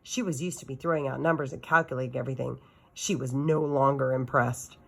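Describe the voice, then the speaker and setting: A woman's voice: rumbling low, fairly even intonation, fairly quick, creaky. A woman reading a children's novel aloud as a teacher does for students, with expression.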